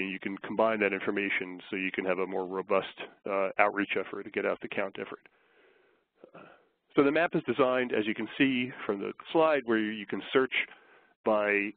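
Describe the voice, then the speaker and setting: A man getting over a flu, slightly hoarse, giving a measured, explanatory webinar presentation with frequent fillers. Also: fairly low pitch, no room sound. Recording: tinny phone line.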